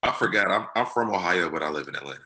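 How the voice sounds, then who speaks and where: A man introducing himself as a podcaster on a video call, halting with many fillers. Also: low voice, expressive, pushed and bright. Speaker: podcaster guest. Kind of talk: casual video-call chat.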